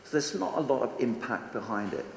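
A man's voice: mid-range voice, animated, fairly quick, creaky, a little mellow, a little hiss or hum. A Danish-accented man in his forties talks to a big seated crowd, casual and blunt, swearing freely and throwing questions at the audience. His handheld mic picks up a roomy hall with a long reverb tail. A faint ambience runs underneath. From Denmark. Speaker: conference speaker. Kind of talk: energetic stage talk. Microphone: handheld microphone on stage.

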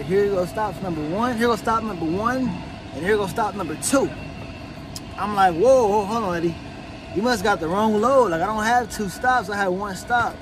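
An American man in his thirties telling a story in a casual vlog, animated and slangy, repeating phrases and tag questions. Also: high-pitched. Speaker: flatbed trucker and vlogger. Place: truck cab with open door, steel yards. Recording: loud vehicle and outdoor work-site noise.

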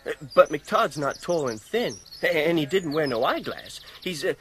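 An actor playing a brash, excitable small-time American crook in a radio drama, slangy and blustering. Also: male voice, fairly high pitch, normal speed, faint music behind. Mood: excited, indignant. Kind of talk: 1980s radio drama acting.

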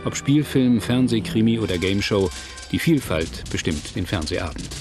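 Low-pitched male documentary narrator over music. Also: expressive. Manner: measured, factual. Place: studio voice-over, music behind.

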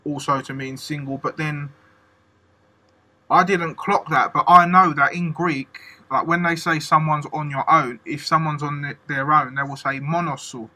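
Male interview host, enthusiastic and slangy, piercingly bright timbre. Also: a little high, fairly even intonation, normal speed. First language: English. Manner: enthusiastic, casual slang, lots of "like" fillers. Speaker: podcast host. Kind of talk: casual conversational interview.

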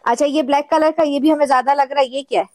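A woman's voice: high-pitched, steady tone, quick pace, a little mellow, no room sound. A woman from Pakistan, hosting a casual shopping vlog and chatting eagerly with a seller. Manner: chatty, eager, narrates to viewers. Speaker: host.

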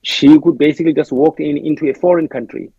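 A man's voice: a little high, steady tone, fast talker, creaky, warm tone, slightly rough, dry recording, lo-fi sound. A man with a South African accent, speaking as an interview guest in a thoughtful, hesitant way with many restarts. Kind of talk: reflective interview talk.

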